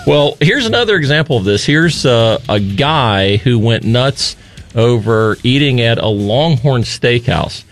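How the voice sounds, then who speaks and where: A man's voice: mid-range voice, lively intonation, a little slow, slight background noise. A man hosting a radio talk show, joking and teasing his co-host.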